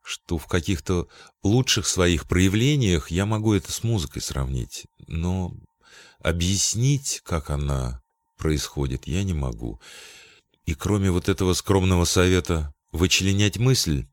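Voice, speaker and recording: A man from Belarus, a voice-over announcer, answering interview questions thoughtfully, pausing to search for words. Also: low-pitched, lively intonation, no echo.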